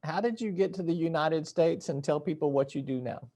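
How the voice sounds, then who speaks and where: A man's voice: a little high, brisk, warm tone. An older man interviewing a guest as the host of a broadcast talk program.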